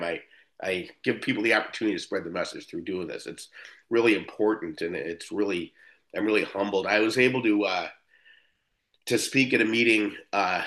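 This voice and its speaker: A man's voice: fairly low pitch, a bit bright. Rambling Buffalo man in his fifties. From Buffalo, New York. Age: 50s. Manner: rambling, frequent restarts and fillers. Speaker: AA meeting speaker. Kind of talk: personal recovery talk.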